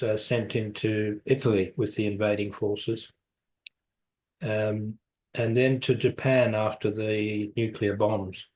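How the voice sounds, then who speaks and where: A man's voice: fairly low pitch, darkish tone. A man, telling his life story as an interview guest, rambling and correcting himself with light chuckles. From South Africa, raised in New Zealand. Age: 70s.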